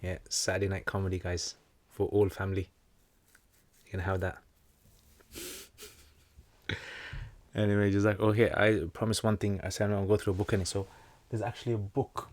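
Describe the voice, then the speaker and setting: Brief: male livestream host and teacher, low-pitched, dry close sound, jokey and rambling. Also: little pitch movement, moderate pace, pauses often, a little mellow, dry recording. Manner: jokey, rambling, with slangy asides. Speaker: Islamic teacher hosting a livestream Q&A. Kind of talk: casual livestream chat, answering viewer comments.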